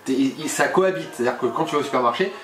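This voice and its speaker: A man co-hosting a casual chat over a cocktail, animated, laughing often and repeating words. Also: mid-range voice, racing. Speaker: co-host. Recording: echoey room, faint noise.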